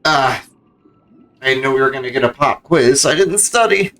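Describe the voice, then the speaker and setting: A man's voice: mid-range voice, expressive, moderate pace, fairly bright tone. A man reacting casually and jokingly as he streams a game.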